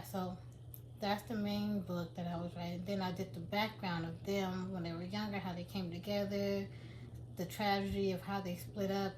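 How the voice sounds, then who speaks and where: A woman's voice: mid-range voice, background noise. Rambling woman vlogger, casual chat. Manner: rambling, many restarts and fillers. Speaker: vlogger and writer.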